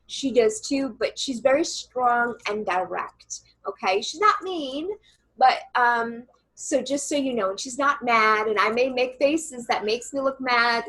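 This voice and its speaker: Woman, loud, slightly high, breathy, earnest, coaching tone. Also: a little slow, rough recording. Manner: earnest and reassuring, many fillers. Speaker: channeler and coach, guest. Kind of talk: casual interview, guiding a meditation exercise.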